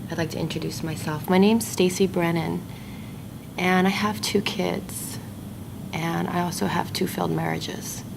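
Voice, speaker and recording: A woman's voice: fairly low pitch, steady tone, unhurried, vocal fry. An actress playing a bitter, twice-divorced mother of two in a women's support group, blunt in manner. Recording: noisy indie film audio.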